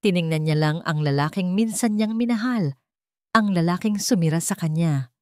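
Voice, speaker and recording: Woman, Filipino accent, low voice, dry close sound, dramatic audiobook narration. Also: normal speed, a little mellow, no room sound. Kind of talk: dramatic scripted story narration.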